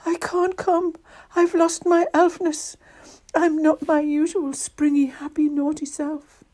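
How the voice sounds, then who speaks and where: A woman voicing a small, excitable male elf who exclaims in an urgent, fretful way. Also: high-pitched, slow pace, pitched above the performer's own voice. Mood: upset, embarrassed.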